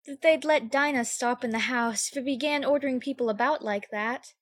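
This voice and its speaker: A woman as a high-voiced, curious girl. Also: brisk. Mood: playful. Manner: musing, prim, talking to herself. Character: curious, chatty, polite young English girl. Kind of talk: audiobook character acting.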